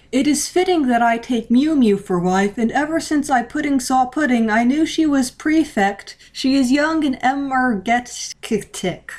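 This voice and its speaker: A lonely, centuries-old vampire prince holds forth in grand, pompous sentences, as a woman reading a story voices him. The voice sits fairly high and stays theatrical and self-important throughout this storybook reading. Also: darkish tone. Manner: pompous, theatrical villain speech.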